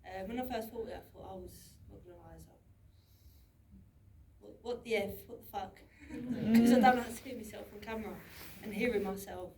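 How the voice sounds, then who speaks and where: A woman's voice: mid-range voice, fairly quick, recorded from a distance, crowd noise. A woman, an actress, speaking frankly and swearing casually at a film Q&A. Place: large cinema auditorium, on stage.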